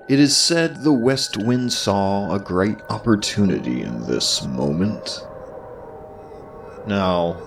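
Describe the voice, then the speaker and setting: Deep, slow-spoken male storyteller with creaky voice. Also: recorded from a distance. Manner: deliberate, dramatic pauses, dryly tongue-in-cheek. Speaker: podcast presenter and history professor. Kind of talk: scripted storytelling narration of mythology.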